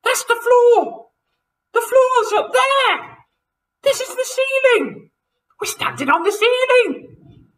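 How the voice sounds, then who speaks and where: A man's voice: very high-pitched, lively intonation, takes it slowly, pauses often, fairly bright tone, recorded from a distance, voice pushed up, heavily acted. A man voicing a beastly, panicky woman who screams shrilly in a children's storybook, in a roomy space. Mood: shrieking panic. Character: shrieking, panicky, beastly woman, Missus Twit.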